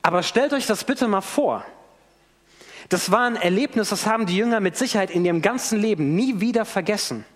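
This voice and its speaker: A man's voice: high-pitched, a little fast. A man preaching a sermon in a church, telling the story in a vivid way and acting out what people say. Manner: animated storytelling with acted-out quotes. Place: church hall. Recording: long church hall reverb.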